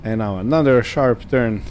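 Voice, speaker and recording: A man giving casual roleplay game commentary, thinking aloud in short, unhurried phrases over a background hum. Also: mid-range voice, darkish tone. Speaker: gaming video host.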